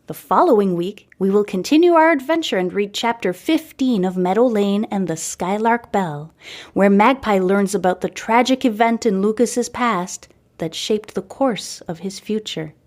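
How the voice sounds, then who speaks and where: A woman reading a scripted serial story aloud as a podcast host, with a measured, deliberate storytelling delivery. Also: medium pitch, darkish tone. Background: music.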